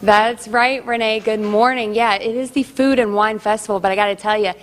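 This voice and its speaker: A woman working as a TV news field reporter, interviewing a guest live at a festival in a friendly, brisk manner. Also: on the high side, fairly even intonation. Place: outdoor festival venue.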